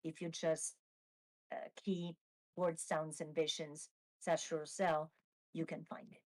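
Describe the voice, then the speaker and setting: An Italian-accented woman, Italian by mother tongue, speaking slowly and carefully in English. Her voice sits somewhat low, and she pauses often with fillers and restarts as she gathers her thoughts. She is heard over a video call during a live Q&A. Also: flat delivery, darkish tone. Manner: hesitant, many fillers and restarts. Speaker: author. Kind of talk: live online Q&A.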